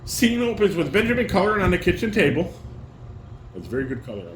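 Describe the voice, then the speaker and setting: A man's voice: high-pitched, wildly swooping pitch, quick pace. An older man, a father casually directing a table read at home, giving corrections and reading stage directions aloud. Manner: instructing, corrective. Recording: roomy home recording with clear background noise.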